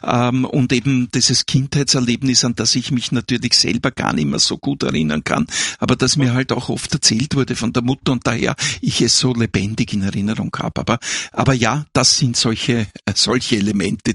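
Older man, gravelly voice, husky, calm call-in host. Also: medium pitch, no echo, clean studio sound. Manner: calm, reassuring, halting with fillers. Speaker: radio call-in host, doctor. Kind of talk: call-in radio advice.

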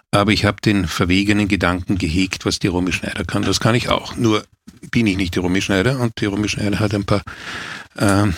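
Austrian actor in his sixties, deep husky voice, unhurried reminiscing, dry close sound. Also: no echo. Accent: Austrian German. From Austria, Vienna. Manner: measured, thoughtful, repeats words while searching. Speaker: actor recounting his life. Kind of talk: reflective autobiographical talk.

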